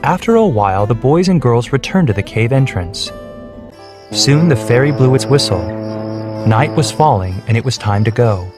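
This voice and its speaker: A man reading a children's storybook, clear and measured. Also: mid-range voice, animated. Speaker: narrator. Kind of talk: scripted storybook narration. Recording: soft background music.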